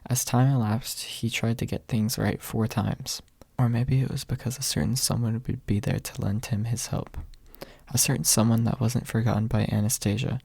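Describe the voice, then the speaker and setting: A man reading a story softly as scripted ASMR narration, in a hushed, measured way with a husky, breathy voice. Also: fairly low pitch, dry recording. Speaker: audiobook reader.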